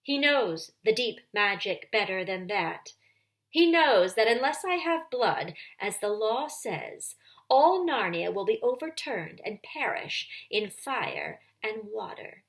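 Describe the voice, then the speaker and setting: A woman voicing a cold, menacing witch queen in a children's story, imperious and forceful, rising toward shrillness. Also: medium pitch, big pitch swings, slow. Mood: savage, menacing.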